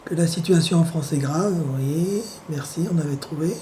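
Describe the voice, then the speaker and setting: A hoarse man with the flu, speaking at home in a rambling, sardonic monologue full of hesitations. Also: a little high, normal speed, dark tone, a little hiss or hum. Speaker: vlogger.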